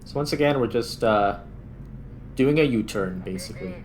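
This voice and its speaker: A man's voice: on the low side, moderate pace, slight background noise. A man giving casual gameplay commentary, thinking aloud as he plays. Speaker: gaming video host.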